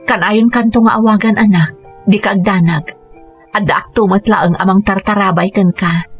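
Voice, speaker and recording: A woman's voice: medium pitch, steady tone, normal speed, husky, faint music behind. A woman playing an earnest, self-sacrificing young mother who speaks pleadingly in a radio drama. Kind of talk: Ilocano radio drama acting.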